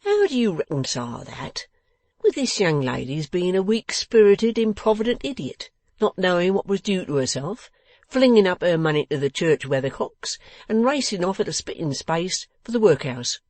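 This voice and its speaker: Woman putting on a loud, blustering, contemptuous older man. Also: fairly low pitch, very animated, moderate pace, dry recording, voice pushed up. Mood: taunting. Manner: loud, mocking, emphatic. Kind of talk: dramatised audiobook reading.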